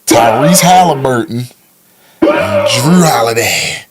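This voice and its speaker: A man's voice: medium pitch, expressive, takes it slowly, over music, poor audio. An American man co-hosting a podcast, chatting about sports news in an informal, slangy way. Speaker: podcast co-host. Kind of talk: casual sports news chat. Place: podcast studio.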